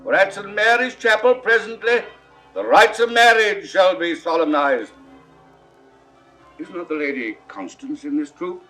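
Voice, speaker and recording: An actor playing a stately French king in a 1960s Shakespeare audio drama, with measured, drawn-out, declamatory phrasing. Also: high voice, bright, forceful tone, faint music behind, poor audio. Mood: regal, proclaiming. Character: stately king of France, weighing a treaty.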